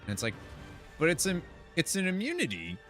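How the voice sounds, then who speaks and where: A man streams a game with a bright, forceful voice and big swings in intonation. He is casual, slangy and expressive, with game music softly behind him. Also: on the high side, brisk. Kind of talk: casual gameplay chat, reading quest text aloud.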